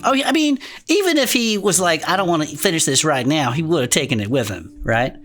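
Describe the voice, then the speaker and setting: A woman's voice: low-pitched, lively intonation, a little fast, fairly bright tone, soft background music. A woman playing an irreverent, chatty cryptid podcast host in comedic audio fiction, with slangy, sweary banter. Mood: amused, musing.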